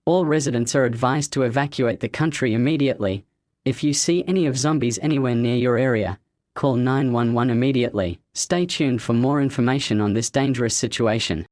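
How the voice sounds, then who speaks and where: A man's voice: medium pitch, brisk, a little mellow, dry recording, clean studio sound. A man reading a scripted emergency bulletin as an alert announcer.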